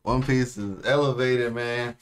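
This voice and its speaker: A man's voice: mid-range voice, steady tone, measured pace, clean tone. A young man co-hosting an anime reaction show, chatting in a laid-back, slangy way. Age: young adult. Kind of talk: casual reaction chat. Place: small indoor room, seated.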